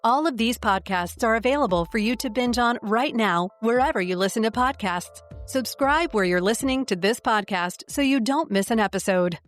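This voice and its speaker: A woman reading a scripted network promo in a smooth announcer style. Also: on the high side, lively intonation, normal speed, few pauses, faint music behind.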